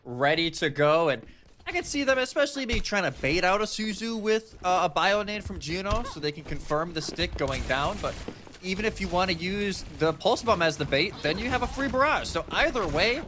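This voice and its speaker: A man's voice: high-pitched, animated, normal speed, noisy background. A man giving live analytical commentary on an esports match.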